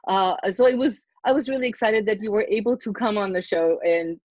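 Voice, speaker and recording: A middle-aged woman from Germany hosting a podcast interview, excited and effusive as she introduces her guest. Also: mid-range voice, fairly quick, no echo. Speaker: podcast host. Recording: dull dry lo-fi sound.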